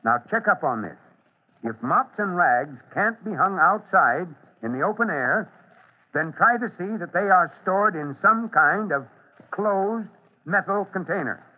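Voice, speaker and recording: A man playing an authoritative fire chief giving a deliberate, formal safety address to children on old-time radio. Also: high-pitched, expressive, narrow, tinny audio. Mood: instructive, earnest. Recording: thin, band-limited old radio recording.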